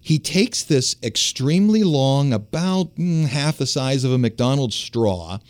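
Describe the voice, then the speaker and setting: Male radio host, low baritone, no accent, chatty storyteller on a studio mic. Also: expressive, a little slow. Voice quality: lower baritone voice. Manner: storytelling, conversational, with restarts. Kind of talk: casual radio chat.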